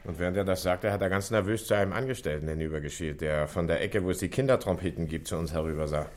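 An actor in a 1960s radio play, playing an eager, deferential junior police detective with an informal manner. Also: male voice, low voice, fast talker, darkish tone, natural voice. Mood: rueful, reporting.

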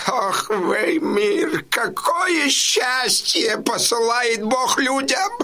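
A man's voice: very high voice, sharp tone, exaggerated voice. An actor playing an anxious, fussy male trader who wails and laments in an audio drama. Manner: wailing, fussy, exclamatory.

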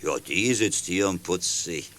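A man playing a helpful, observant middle-aged neighbour in a children's audio drama, speaking in a measured, detailed way. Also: low-pitched, a bit bright, no echo. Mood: reassuring. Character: helpful, observant male neighbour, long-time railway clerk.

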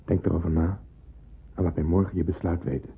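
A knowing, authoritative porter in a radio drama, speaks in terse, deliberate commands. His deep voice is very husky and rough. The old recording sounds thin, like a phone line. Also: level tone, exaggerated voice. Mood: grave, insistent. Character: male porter, grave, knowing, authoritative secret helper. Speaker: actor in a radio play. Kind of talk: Dutch radio play acting.

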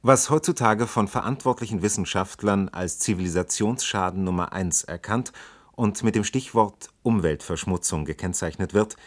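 A male radio announcer with a deep voice. He reads a scripted drama introduction formally, in measured and carefully separated phrases.

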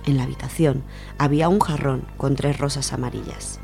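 A woman's voice: rumbling low, steady tone, normal speed, gravelly. A woman with an accent from Spain reading a literary short story aloud as a radio storyteller. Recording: music behind the voice.

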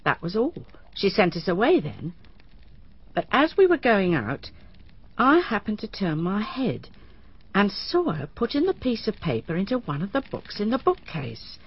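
A woman's voice: mid-range voice, wildly swooping pitch, normal speed, breathy edge, exaggerated voice. An actress playing a humble widowed village cleaning woman, deferential and plain-spoken, in a radio drama. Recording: dull lo-fi radio drama recording.

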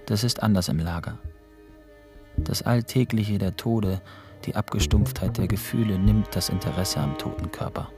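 A prisoner narrator in a radio drama, reflective and emotionally detached. His lowish voice rides over clearly audible instrumental music. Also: moderate pace. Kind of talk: literary radio play narration.

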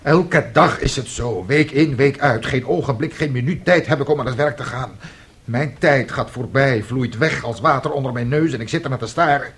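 A man's voice: medium pitch, normal speed, a bit bright, natural voice. Radio drama: actor as ageing artist husband and father, middle-aged, self-pitying, plaintive, wistful dreamer. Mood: despairing, self-pitying. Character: aggrieved, dreamy, ageing failed composer and father.

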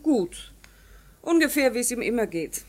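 An actress playing a composed, dryly ironic unmarried painting teacher in a radio play, polite and reserved. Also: female voice, fairly high pitch, big pitch swings, moderate pace. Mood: dryly welcoming. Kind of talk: naturalistic German radio drama acting.

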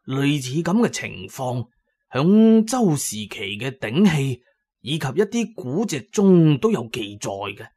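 A man's voice: medium pitch, sing-song, slow, dark tone, breathy edge, exaggerated voice. Guy doing old cantonese archaeology professor, kind n patient, lecturing, audiobook style. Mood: earnest, explaining. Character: kindly, learned elderly male archaeology professor.

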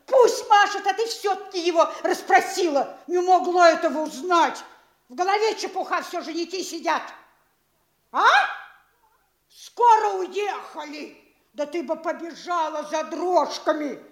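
A shrill nagging mayor's wife. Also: female voice, measured pace. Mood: scolding. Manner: scolding, breathless, shrill exclamations. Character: excitable, nagging mayor's wife, middle-aged and vain. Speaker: actress in a radio play. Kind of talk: classic stage radio acting. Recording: echoey room.

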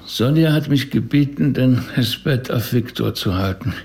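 An actor playing a rabbi in a radio play, measured and questioning, with dry humour and gentle skepticism. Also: male voice, medium pitch, expressive, creaky. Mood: defensive, reassuring.

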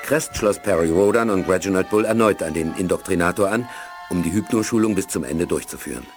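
A man narrating a 1980s German science fiction radio play in a measured, storytelling manner. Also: sits low, soft background music, lightly acted.

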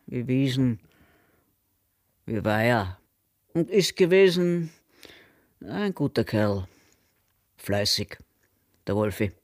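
Actor as a slow, reflective Bavarian narrator. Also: male voice, mid-range voice, darkish tone, no echo, clean studio sound, natural voice. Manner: measured, halting phrasing. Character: reflective camp escapee recounting the past. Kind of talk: radio drama narration.

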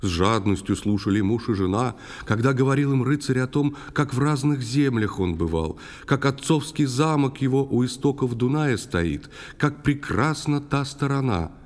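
A man's voice: mid-range voice, animated, normal speed, few pauses. A man narrating a verse fairy tale as a storyteller in an audio play. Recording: long reverberant hall echo.

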